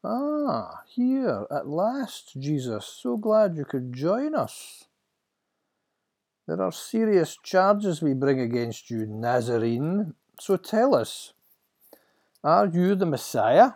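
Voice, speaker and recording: A man voicing a sly, mocking high priest in a Bible story, with a theatrical, condescending manner. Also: very animated, takes it slowly, a little mellow, exaggerated voice. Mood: sly, gloating.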